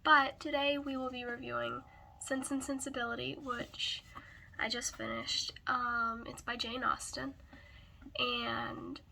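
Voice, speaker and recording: A woman who is hoarse and raspy from a cold gives a casual book review, often hesitantly searching for words. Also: a little high, flat delivery, a little slow, bright, forceful tone, slight background noise.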